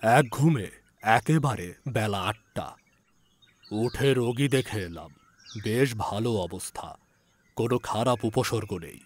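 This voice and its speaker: A man playing a village physician who recounts an eerie ghostly encounter in a measured, suspenseful audiobook narration. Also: on the low side, a little hiss or hum. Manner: measured, suspenseful delivery with hushed drops and emphatic questions. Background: background music.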